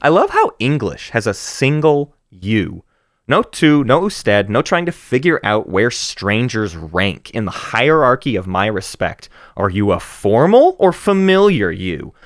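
A male podcast co-host talks through poems with lively, swinging intonation and frequent restarts. His voice sits at an ordinary pitch, recorded close and dry with no room sound. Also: no room sound. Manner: animated, rising to excited emphasis, halting restarts. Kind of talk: casual book-club chat and poetry reading.

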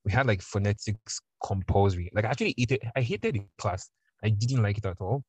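Man, young adult, Nigerian accent, lowish pitch, dry close sound, animated casual storytelling. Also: fairly quick, slightly rough, dry recording. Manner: animated, acts out voices in quotes. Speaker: podcast guest.

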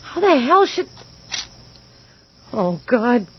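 A woman acting in a radio horror drama, playing an urgent, distraught woman searching a graveyard, with a breathy, husky voice. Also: a little high, sing-song, extremely slow, a little hiss or hum, full character voice. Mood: horrified. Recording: muffled old radio drama recording.

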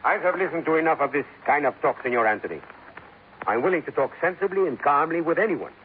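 An actor playing a calm, stubborn police captain with a Spanish accent, speaking formally and deliberately in a 1950s radio drama. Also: male voice, fairly high pitch, phone-quality sound, close to an everyday voice. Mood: firm, controlled. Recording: noisy, thin 1950s radio recording.

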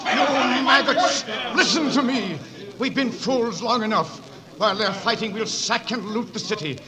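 An actor playing a brash, treacherous gutter thief, shouting a drawn-out harangue in 1940s radio drama style. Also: male voice, very high voice. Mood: rabble-rousing. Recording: 1940s radio broadcast, crowd behind.

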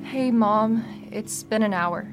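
A woman's voice: a little high, slow. A young woman acting a confident, watchful survivor daughter in an audio drama, curt and self-assured. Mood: matter-of-fact. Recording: music behind the voice.

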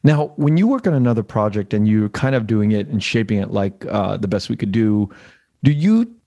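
A man with an American accent hosting a casual interview, halting as he searches for words and repeats phrases. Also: on the low side, very animated, mellow, dull audio. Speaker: interview host.

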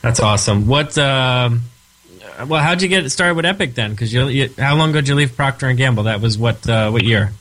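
A man's voice: medium pitch, a little fast, fairly bright tone, a little hiss or hum. A man hosting a radio interview show, talking in a friendly, rambling way with restarts.

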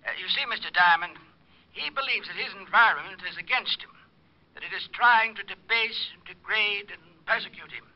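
An actor playing a scholarly, deliberate asylum psychiatrist, hesitant and precise, in old radio drama style. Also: male voice, high voice. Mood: scholarly, musing. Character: pedantic, deliberate male asylum psychiatrist explaining a madman. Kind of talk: 1940s-50s radio drama acting. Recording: dull vintage radio recording.

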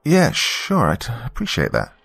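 A man's voice: medium pitch, huge pitch swings, unhurried, vocal fry, fairly bright tone, soft background music, voice pushed up, exaggerated voice. A man voicing a shy, awkwardly polite male technician in an audio story, hesitant and stammering. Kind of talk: audio story character dialogue.

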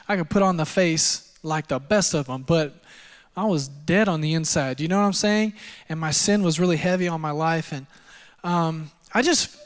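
Texas guy in his 30s preaching, chatty and self-mocking, higher voice, big church reverb. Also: moderate pace, smooth. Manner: conversational, self-deprecating storytelling with frequent fillers. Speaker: pastor. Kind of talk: sermon with personal testimony. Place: church auditorium. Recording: long hall reverb.

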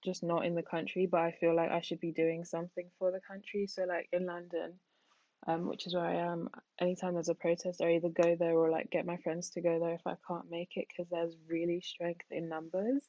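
A woman's voice: on the low side, completely flat delivery, brisk, dark tone, dry recording, lo-fi sound. A young woman from Nigeria co-hosting a podcast, chatting casually and animatedly with many "like"s. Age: young adult. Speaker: podcast co-host. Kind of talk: casual chat. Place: London.

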